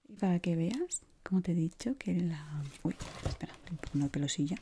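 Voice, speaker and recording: A woman in her thirties with an accent from Spain, whispering softly and soothingly in an ASMR shop assistant roleplay, close to the mic. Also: fairly low pitch, expressive, brisk, slight background noise. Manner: soft, soothing whispering and murmuring. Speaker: ASMR creator playing a shoe shop assistant. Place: small indoor room.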